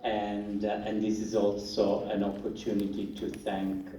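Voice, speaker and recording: An event organizer gives the audience instructions, hesitant and full of restarts. His voice is fairly low and his pace is slow. The sound is far off and roomy, with faint noise behind. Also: monotone, mellow. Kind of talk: informal announcements to an audience. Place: large hall at a literature event. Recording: echoey hall, far from the mic, faint noise.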